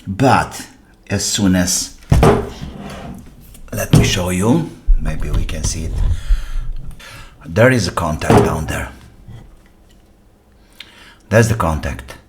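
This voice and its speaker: A man with a low voice and non-native English talks slowly through a hands-on repair. He thinks aloud in a halting, casual way, over clear background noise with clicks and clatter of parts. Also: animated. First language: non-native English speaker. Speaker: repair channel host.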